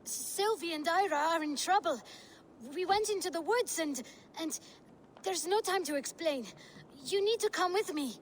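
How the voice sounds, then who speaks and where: A woman's voice: very high voice, moderate pace, slight background noise. A woman playing a timid, earnest little boy in a video game, hesitant and sincere. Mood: anxious, urgent. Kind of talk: video game cutscene acting.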